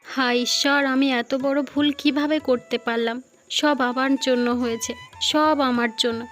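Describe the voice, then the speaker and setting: A high-voiced, proud middle-aged mother from a cartoon melodrama acted by a woman. She is fiercely protective and emphatic, hammering her words through repetition, with music playing underneath. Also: fairly even intonation, moderate pace, fairly bright tone. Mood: remorseful. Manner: scolding, emphatic, repeats words for effect.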